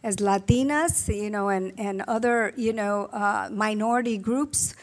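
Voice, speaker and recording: A woman's voice: medium pitch, measured pace. A middle-aged woman from Rico, speaking earnestly at a panel event on a table microphone, with many fillers and restarts. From Puerto Rico. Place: conference room with blue drapes.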